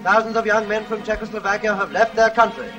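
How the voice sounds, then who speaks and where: A man's voice: high voice, little pitch movement, fast, pushed and bright, dull audio. A man narrating a vintage newsreel in a dramatic, declamatory way, heard as distant old archive audio.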